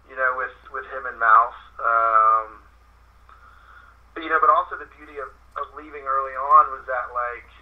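A man's voice: mid-range voice, steady tone, normal speed. A man from North Carolina, a guest actor in a casual interview, rambling with lots of fillers and restarts. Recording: tinny phone line.

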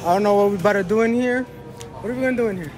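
A young man joking loudly with street slang, outdoors in a busy city square at night. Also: sits high, racing. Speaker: vlogger's friend. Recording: loud crowd and street noise.

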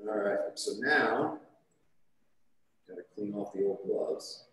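A man cooking on a studio kitchen set, explaining each step in a relaxed, chatty way. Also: on the low side, takes it slowly. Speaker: chef demonstrating a recipe. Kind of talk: live cooking demonstration. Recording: echoey kitchen with light background noise.

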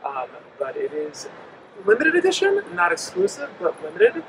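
A man's voice: high voice, wildly swooping pitch, moderate pace, vocal fry. A man in his forties, a company representative explaining products with frequent ums in a trade show interview. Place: busy indoor convention hall. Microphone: handheld mic held by interviewer. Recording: noisy trade show floor.

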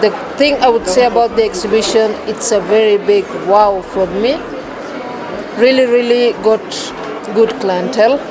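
A woman's voice: a little high, normal speed, rough recording. A woman visitor giving enthusiastic, unscripted interview remarks. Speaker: expo visitor. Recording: busy crowd chatter behind.

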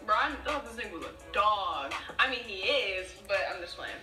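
A young woman casually telling a story with a playful, animated, cursing manner, heard from a video being played back. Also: mid-range voice, normal speed. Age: young adult. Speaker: vlogger. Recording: echoey far-off video playback with music.

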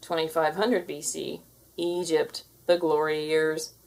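A woman reading a children's storybook aloud in an expressive style. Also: low voice, slow pace, vocal fry, low-quality recording. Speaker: storybook reader.

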